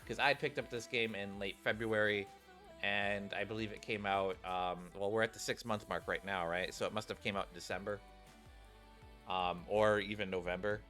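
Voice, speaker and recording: Low-voiced man streaming a game. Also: fairly even intonation, normal speed, pushed and bright. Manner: informal, rambling, addresses viewers. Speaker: gaming streamer. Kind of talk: casual game commentary and reading aloud of story text. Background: game music.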